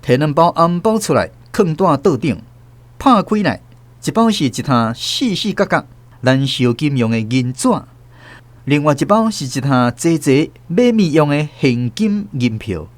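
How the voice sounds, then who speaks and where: Guy narrating a radio story, higher voice, really animated. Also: unhurried. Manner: lively storytelling. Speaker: host and narrator.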